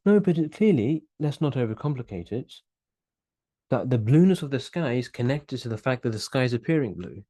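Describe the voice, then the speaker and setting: A young man gives an online grammar lesson, speaking earnestly and often doubling words as he works through an idea. His voice is mellow and soft-edged. The sound is close and dry, as from a webcam mic in a small room. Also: medium pitch, sing-song, fairly quick, dry recording. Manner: earnest, explanatory, repeats words while thinking. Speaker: Arabic grammar teacher.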